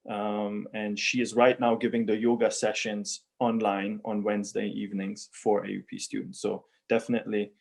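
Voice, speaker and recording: An athletics program coordinator, a man, talking on a video call in a polite, halting way as he gives students advice. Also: on the low side, creaky. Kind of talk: casual panel chat.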